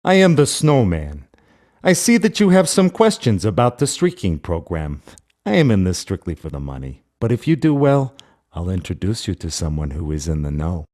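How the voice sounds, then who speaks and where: A man playing a cold, menacing crime boss in a racing video game, speaking deliberately with veiled threats. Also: low-pitched, wildly swooping pitch, a little mellow. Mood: cold, controlling. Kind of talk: video game character dialogue.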